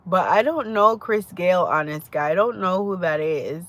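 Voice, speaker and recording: A woman's voice: fairly low pitch, moderate pace, dry recording. Chatty Jamaican woman live-streaming. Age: adult. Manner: chatty, greets viewers by name, laughs often. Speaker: livestream host. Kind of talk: casual live-stream chat with viewers.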